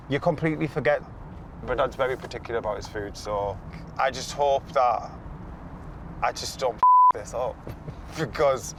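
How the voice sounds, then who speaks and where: A man's voice: medium pitch, slight background noise. A young man with a Northeast English accent, chatting playfully on a reality show and talking reflectively to camera. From northeast England. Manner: chatty, playful, loud exclamations. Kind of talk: casual chat and confessional voice-over.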